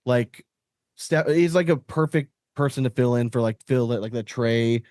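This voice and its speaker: A man's voice: medium pitch, measured pace, darkish tone, no echo, clean studio sound. A man hosting a podcast in casual chat, blunt and prone to swearing. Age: 30s or older. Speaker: podcast host.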